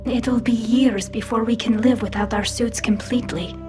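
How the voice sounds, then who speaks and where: A woman playing a wistful, loyal, gently determined young alien crewmate in video game dialogue. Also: fairly high pitch, fairly even intonation, moderate pace, music in the background, close to an everyday voice. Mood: wistful, mournful.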